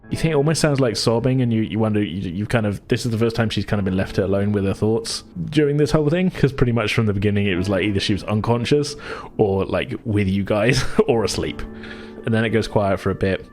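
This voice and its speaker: A man's voice: lowish voice, a little mellow. A man narrating a tabletop roleplaying game as game master, casual, chuckling and rambling.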